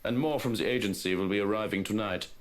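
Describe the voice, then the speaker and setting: Low-voiced, composed aide played by a man. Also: fast, slight background noise, close to an everyday voice. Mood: businesslike. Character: composed, dutiful male aide to a rich employer. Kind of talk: visual novel voice acting.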